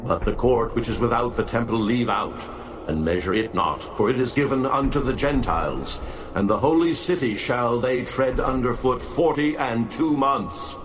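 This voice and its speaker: A man playing a solemn, commanding angel of heaven in a dramatized scripture audiobook, declaiming gravely. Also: medium pitch, animated, normal speed, noisy background, close to an everyday voice. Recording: music behind the voice.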